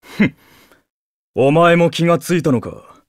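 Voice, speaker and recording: A man playing an arrogant young demon warrior in an anime game, gruff, curt and scornful. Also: on the high side, measured pace, no echo, clean studio sound.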